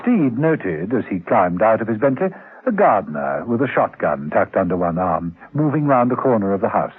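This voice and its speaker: An actor narrating a spy radio drama as a composed storyteller, in scripted prose. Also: male voice, on the low side, sing-song, moderate pace, crowd noise. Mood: measured, descriptive. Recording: muffled, narrow-band old radio recording.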